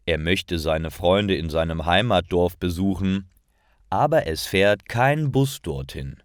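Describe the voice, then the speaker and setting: A man reading a scripted story for language learners, with careful, clearly separated phrasing. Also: low voice, no room sound, studio quality. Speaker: narrator of language-learning stories.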